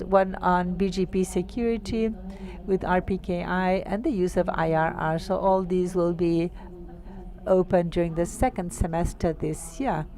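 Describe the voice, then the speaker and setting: A woman giving a technical presentation to network operators, speaking in a measured, explanatory way with pauses between phrases. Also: fairly low pitch, a little mellow. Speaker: conference presenter.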